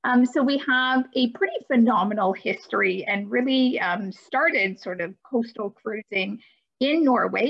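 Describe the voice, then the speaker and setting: A woman's voice: medium pitch, vocal fry, slightly rough. A woman giving a friendly, upbeat webinar presentation over a video call, with light hesitations. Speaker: cruise line presenter. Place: home office. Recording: muffled video call audio.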